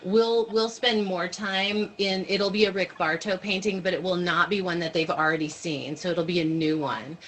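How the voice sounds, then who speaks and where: Lower-voiced woman leading training, noisy call. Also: steady tone, normal speed, few pauses. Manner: explanatory, informal, checks in with listeners. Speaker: workshop leader training teachers. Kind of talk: informal online training presentation with questions and answers. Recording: noisy video-call audio.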